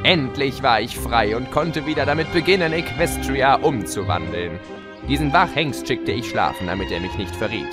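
A man's voice: medium pitch, animated, normal speed, natural voice. A man playing a gleeful, scheming chaos creature who narrates his escape in an audio drama, smug and playful. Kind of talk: theatrical first-person audio drama narration. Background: music.

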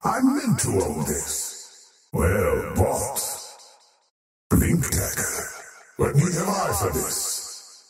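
A man's voice: medium pitch, huge pitch swings, drawn-out words, pauses often, full character voice. A man voicing a faceless alien time-lord for a video game, cold, haughty and menacing, speaking in pompous, clipped pronouncements with an otherworldly echoing effect. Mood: cold, grandiose. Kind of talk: video game character voice lines. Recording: heavily echoing, distant voice over music.

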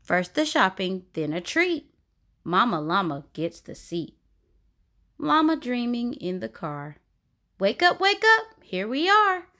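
A woman reading a rhyming picture book aloud for children in an expressive, sing-song way. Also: on the high side, takes it slowly. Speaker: children's story reader. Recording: music bed under the voice. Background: background music.

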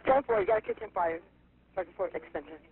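A male fire dispatcher speaks in a very high, lively, swooping voice. He is clipped and procedural, repeating details back quickly. The two-way radio audio is dull and lo-fi. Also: creaky. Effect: two-way radio transmission. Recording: dull, lo-fi radio scanner audio, faint noise.

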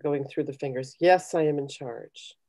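A middle-aged woman with a low, mellow voice talks on a video call. She coaches conversationally and gives encouraging, step-by-step meditation instructions. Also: moderate pace. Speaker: guest leading a guided meditation. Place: small home room, indoors. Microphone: computer video call.

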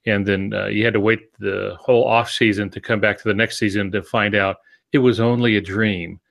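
A man in his sixties explaining things conversationally as a podcast co-host, in a measured, halting way with pauses mid-phrase. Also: on the low side, fairly even intonation, creaky, a bit bright. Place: home office, indoors. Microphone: video call with earphones.

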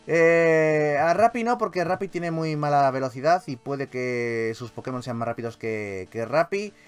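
A man with an accent from Spain giving casual, expressive gaming commentary in an informal, sweary way. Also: on the high side, measured pace, clear, resonant tone, faint music behind. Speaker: gaming YouTuber. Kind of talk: casual Let's Play commentary.

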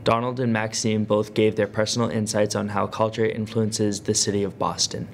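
A man's voice: on the low side, little pitch movement, moderate pace, creaky, a little hiss or hum. A young man reading an earnest, formal scripted narration for a student documentary. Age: young adult. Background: music.

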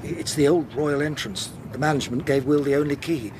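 An actor playing a hesitant, apologetic gentleman witness in a radio drama, polite and easily flustered. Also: on the high side, brisk, background noise, close to an everyday voice. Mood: apologetic, nervous.

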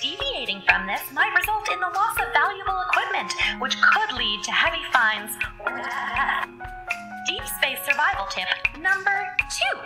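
An actress playing a polite, unflappably cheerful space station computer, formal and deadpan, reading out a manual in a radio drama. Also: female voice, sits high, loud music behind the voice. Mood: serene, deadpan. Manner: measured, formal, deadpan reading of grim advice.

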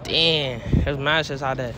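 A man's voice: mid-range voice, steady tone, a little slow, noisy background. A man answering street-interview questions at night in a laid-back, crude, slangy way. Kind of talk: casual street chat.

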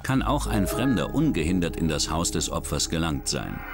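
A man narrating a true-crime documentary in a measured, dramatic storytelling manner. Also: a bass voice, big pitch swings. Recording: studio voice-over with music bed. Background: background music.

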